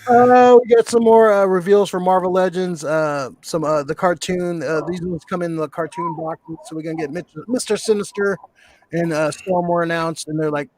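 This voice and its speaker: A man's voice: high-pitched, moderate pace, a little mellow, rough recording. A man co-hosting a podcast in casual chat, rambling and profane, often correcting himself mid-sentence. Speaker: podcast co-host.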